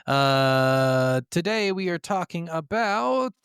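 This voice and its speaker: A man co-hosting a podcast in casual banter about video games, blunt, teasing and quick to swear. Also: fairly high pitch, animated, fairly bright tone, clean tone, no echo, clean studio sound. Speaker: podcast co-host.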